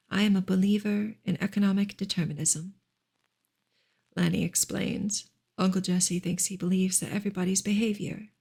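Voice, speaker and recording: A woman reads fiction aloud as soft ASMR narration. Her voice is breathy and husky, often drifting into a whisper, with a gravelly crackle at the edges. She keeps a gentle, measured delivery. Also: mid-range voice. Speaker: audiobook-style reader, ASMR host. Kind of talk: soft ASMR novel reading.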